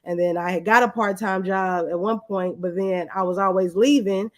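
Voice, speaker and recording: A woman's voice: mid-range voice, fairly even intonation, moderate pace. A woman giving casual, plain-spoken, conversational answers as a guest in an interview.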